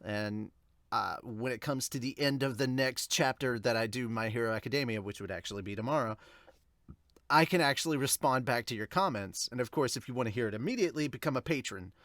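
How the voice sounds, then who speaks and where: A man's voice: medium pitch, brisk, a bit bright, no room sound, studio quality. A man hosting a manga review podcast, chatting to viewers in an informal, rambling way. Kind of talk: casual chat to viewers.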